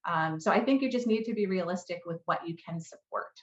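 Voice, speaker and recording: Woman, business presenter, lower-pitched voice, roomy echo, slightly dull recording. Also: fairly quick. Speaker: conference presenter, head of customer marketing.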